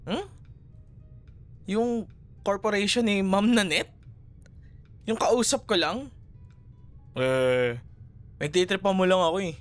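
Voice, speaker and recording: A man's voice: high-pitched, takes it slowly, long pauses, soft background music. A Filipino man playing a chatty, teasing café worker in a casual, naturalistic boyfriend roleplay audio. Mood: surprised, curious. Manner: chatty, playful, casual conversational.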